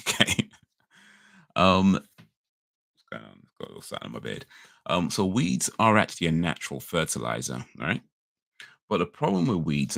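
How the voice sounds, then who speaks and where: A man talks casually on a livestream in a very deep, gravelly voice. He rambles and corrects himself with laid-back slang, his throat a little crackly. The sound is close and dry, with no room around it. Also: a bit bright, no room sound. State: slightly crackly voice. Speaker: livestream host. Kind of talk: casual chat.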